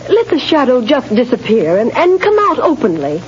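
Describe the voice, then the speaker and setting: A woman's voice: high-pitched, wildly swooping pitch, normal speed. An actress playing an earnest, refined woman who anxiously implores, in 1930s radio drama style. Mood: worried, pleading. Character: worried, earnest society woman pleading with her beloved. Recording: dull, noisy 1930s radio recording.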